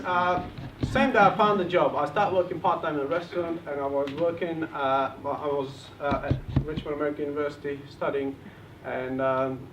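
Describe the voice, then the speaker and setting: A man's voice: fairly high pitch, a bit bright. A man in his thirties from Bulgaria with a Bulgarian accent, giving an earnest motivational talk at a property seminar. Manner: earnest, rallies the crowd. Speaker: property investment speaker. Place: event hall. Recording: roomy, distant, dull lo-fi with faint noise.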